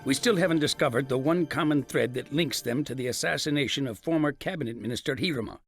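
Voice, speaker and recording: A man's voice: medium pitch, quick pace, faint music behind, lightly acted. A man playing an authoritative special-ops chief in a video game, formal and resolute in his briefing. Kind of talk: English dub acting in a video game cutscene.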